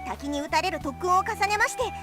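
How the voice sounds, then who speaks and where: A lively anime schoolgirl with an extremely high, bright voice. She chatters quickly in a quirky, over-polite, theatrical way, as in a visual novel, with music playing behind her. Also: full character voice. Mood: playful, eager. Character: lively, chirpy anime schoolgirl with playful formal speech. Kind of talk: Japanese visual novel voice acting.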